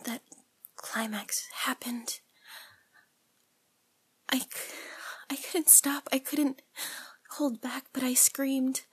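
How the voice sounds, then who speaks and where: A woman voices a nervous young woman pouring out a confession to her priest in a high, airy, whispering voice. She talks slowly, with halting restarts and a tearful, pleading edge. The breathy hush stays close and soft throughout. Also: exaggerated voice. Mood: ashamed, hushed. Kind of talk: whispered audio roleplay monologue.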